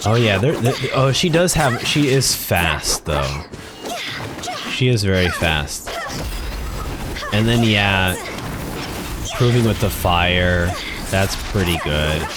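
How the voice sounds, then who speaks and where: A man giving casual gaming commentary, thinking aloud haltingly and repeating words as he goes. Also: low voice, animated. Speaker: streamer. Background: game music.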